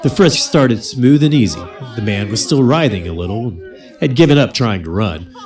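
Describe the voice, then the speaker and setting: A man's voice: medium pitch, lively intonation, normal speed. A man narrating a scripted comedy radio drama in a dramatic storytelling style. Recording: loud music bed under the voice.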